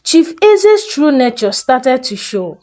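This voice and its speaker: Lady telling a folktale, mellow voice, really slow and expressive. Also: sits high. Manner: slow, expressive, deliberate storytelling. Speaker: storyteller. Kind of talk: dramatic scripted folktale narration.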